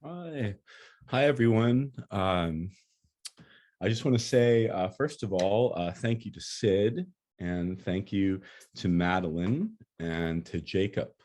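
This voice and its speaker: A man with a deep, low-pitched voice gives an informal talk as a guest speaker. He is conversational and reflective, pausing and restarting as he thinks through what to say. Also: a little mellow, a little hiss or hum. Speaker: guest speaker, poet and teacher. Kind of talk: informal lecture to an audience.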